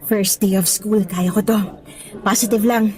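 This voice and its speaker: A woman's voice: medium pitch, steady tone, measured pace, natural voice. A woman acting as a cheerful young new teacher in a radio drama, chatty and appreciative with a hopeful, slightly nervous temper. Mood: hopeful, friendly. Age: young adult. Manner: bright-spirited, chatty, appreciative.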